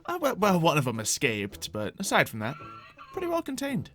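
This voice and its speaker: A man's voice: a little high, wildly swooping pitch, fairly quick, fairly bright tone, faint music behind. A man playing a polite, smug android inventor in an improvised game roleplay, musing in formal, precise wording. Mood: proud, casual.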